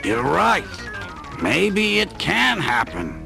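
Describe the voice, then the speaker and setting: Guy playing a bossy skeptical plumber in a radio drama, high raspy creaky voice, really animated. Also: takes it slowly, music in the background, full character voice. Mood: sarcastic. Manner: brusque, bossy, sarcastic. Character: gruff, skeptical working-class older brother, a plumber. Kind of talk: radio play acting.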